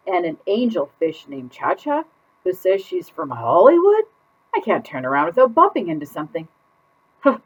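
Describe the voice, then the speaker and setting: Woman reading as dramatic put-upon goldfish, very animated. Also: on the low side, slightly rough, exaggerated voice. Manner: exaggerated, exasperated, drawn-out emphasis. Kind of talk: expressive children's picture-book read-aloud. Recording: dull lo-fi sound.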